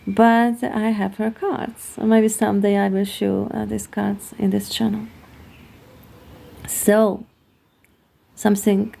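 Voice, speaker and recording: A woman with a Hungarian accent talks through a card reading at an unhurried pace. Her voice is very gravelly with a lot of vocal fry, and its tone is dark and mellow. She pauses often to search for the next word. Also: medium pitch. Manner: halting, searching for words. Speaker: tarot card reader.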